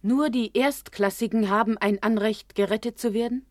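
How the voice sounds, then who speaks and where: An actress playing a probing, sceptical inquiry voice in a documentary radio drama, pointed and formal. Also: female voice, a little high, fairly even intonation, normal speed, no echo, clean studio sound, close to an everyday voice.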